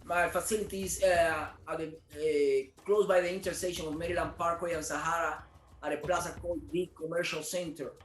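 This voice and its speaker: A man's voice: fairly high pitch, steady tone, fairly quick, roomy sound, faint music behind. A man from Cuba, a business owner answering interview questions earnestly, repeating words as he searches for them. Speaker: martial arts studio owner.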